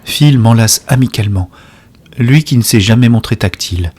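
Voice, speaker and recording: A worried, worn-out hero played by a man in a science fiction audio drama. His medium-pitched voice has a raspy, breathy edge. He moves between introspective narration and blunt pleading. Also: moderate pace, a little mellow, lightly acted. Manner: introspective asides mixed with blunt, urgent pleading. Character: weary, worried male narrator-hero urgently seeking someone.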